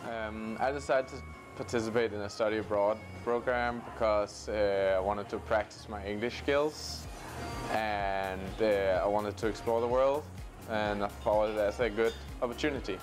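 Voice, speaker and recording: Danish-accented man, 20s, lower voice, hesitant, many pauses, music behind. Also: fairly even intonation. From Denmark. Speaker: exchange student. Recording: music playing under the voice.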